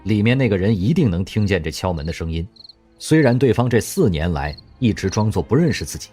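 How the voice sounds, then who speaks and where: A low-voiced man narrates an audio drama, his pitch rising and falling freely as he tells the story with feeling. Soft music plays behind him, with crickets chirping. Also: brisk. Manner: expressive storytelling.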